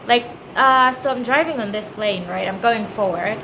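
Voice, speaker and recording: A Kenyan woman talks casually to camera in a fairly high voice. She explains things conversationally, as a travel vlogger would, in her Kenyan accent. Noticeable ambient noise sits behind her. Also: normal speed. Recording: clearly noisy background ambience.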